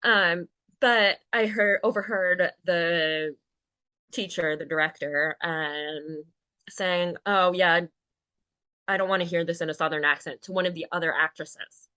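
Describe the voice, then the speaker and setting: A woman's voice: fairly low pitch, fairly even intonation, measured pace, pushed and bright, no room sound. A young adult woman, a podcast guest, telling stories in a chatty, candid way. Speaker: guest, Paralympian and actor. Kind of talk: casual interview chat.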